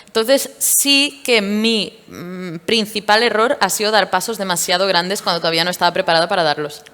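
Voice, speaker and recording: A woman's voice: medium pitch, moderate pace. Candid Portuguese woman founder in a reverberant hall. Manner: candid, lively storytelling with fillers. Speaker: startup founder. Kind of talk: stage interview at a tech event. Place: event stage.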